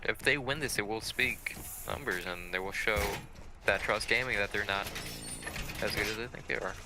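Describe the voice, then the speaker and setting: A man's voice: lowish voice, normal speed, noisy background. A man giving live esports analysis as a co-commentator, conversational and opinionated.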